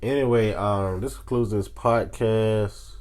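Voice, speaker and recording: A man's voice: fairly low pitch, steady tone, slow pace, a little mellow, clear, resonant tone. A young man with a Southern US accent, sick with a sore throat, chatting casually and rambling as a podcast co-host. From South Carolina, United States. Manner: rambling, joking, colloquial. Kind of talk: casual chat.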